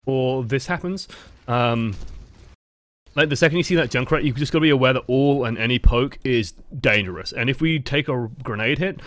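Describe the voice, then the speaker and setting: A man's voice: mid-range voice, moderate pace, no echo. A man with a dry voice, giving casual, explanatory coaching commentary over gameplay footage. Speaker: game coach.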